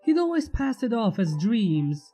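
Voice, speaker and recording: Mellow, lively woman narrating a story. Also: on the high side, unhurried, slightly rough, soft background music. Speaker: fan fiction narrator and channel host. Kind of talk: expressive scripted story narration.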